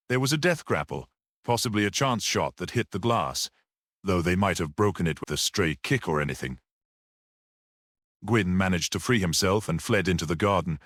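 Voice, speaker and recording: A man voicing a brisk, confident police detective in a storybook reading, matter-of-fact and assertive. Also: sits low, fairly bright tone, dry recording, clean studio sound.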